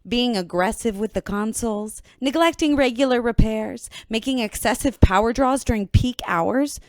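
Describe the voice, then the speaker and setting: An actress playing a stern, exasperated chief engineer in a sci-fi radio drama, scolding and sarcastic. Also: female voice, on the high side, moderate pace, dry recording, close to an everyday voice.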